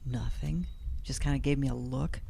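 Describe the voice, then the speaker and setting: A woman playing a rueful, excitable middle-aged woman in an audio drama, plain-spoken and self-deprecating. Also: extremely low pitch, normal speed, creaky, slight background noise. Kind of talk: naturalistic audio drama acting.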